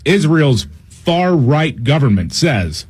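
A man's voice: a little high, big pitch swings, takes it slowly, darkish tone, breathy edge. A man co-hosting a talk radio show, recapping news in a loose, joking way. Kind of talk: casual talk radio chat.

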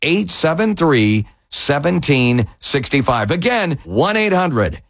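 A man hosting a radio infomercial, delivering an emphatic scripted sales pitch. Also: very animated, takes it slowly, fairly bright tone, no echo, studio quality.

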